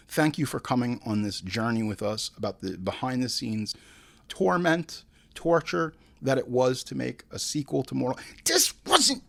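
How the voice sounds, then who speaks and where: Animated man ranting through a casual review. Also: on the high side, creaky. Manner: profane, emphatic, exasperated ranting with slangy asides. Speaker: host of a movie review show. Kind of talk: casual comedic review rant.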